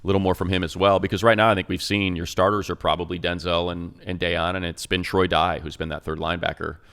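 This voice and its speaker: Low gravelly announcer guy, lively, jokes around with the guest. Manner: energetic, quick to jump in and joke. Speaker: radio play-by-play announcer, co-host. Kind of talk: sports talk podcast.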